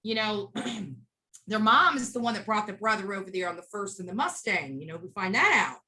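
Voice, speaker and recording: A woman with a bright, forward voice recounts things as an interview guest. She is blunt and emphatic, narrating in casual speech and slipping into quoted dialogue. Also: mid-range voice, expressive, normal speed. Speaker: interview guest, street protester. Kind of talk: animated casual storytelling.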